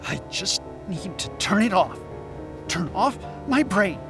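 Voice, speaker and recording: An actor playing an anxious, sarcastic anthropologist in an audio drama, with a nervy delivery. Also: male voice, high-pitched, sing-song, slow, over music, full character voice. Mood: distraught. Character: anxious, sarcastic male anthropologist tormented by visions.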